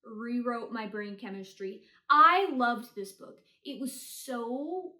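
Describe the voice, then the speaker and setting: A woman's voice: a little high, animated, slow, sharp tone. A woman giving a casual, chatty book review with blunt, hyperbolic opinions and slangy phrasing. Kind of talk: casual chatty ranking of books read. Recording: roomy, echoey sound.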